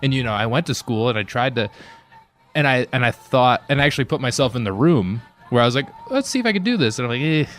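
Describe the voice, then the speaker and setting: A man's voice: mid-range voice, lively intonation, fairly bright tone, faint music behind. A man in his twenties, a stand-up comedian, chatting casually over a meal at a burger bar. He talks in rambling runs full of "like" and "you know.". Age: 20s. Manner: rambling, quick, heavy on fillers like "like" and "you know".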